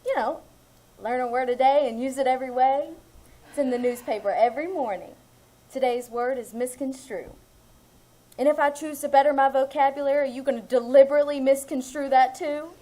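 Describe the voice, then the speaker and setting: A prim, pompous office secretary from an old-time radio comedy, played by a woman on stage. Her voice is mellow and slightly raised in pitch, and she lingers over every fancy word with affected care. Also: a little fast, natural voice. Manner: prim, affected, overuses big words. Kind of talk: 1940s radio comedy acting. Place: live theater stage.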